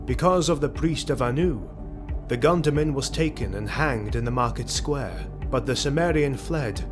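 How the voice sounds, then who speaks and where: A man narrating a scripted fantasy tale in a solemn, deliberate, literary manner. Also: mid-range voice. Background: background music.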